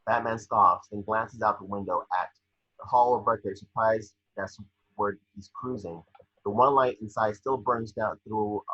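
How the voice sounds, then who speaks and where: A man with a husky voice reading scripted scene narration at an online table read. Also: on the low side, sharp tone, dull audio. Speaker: scene description reader.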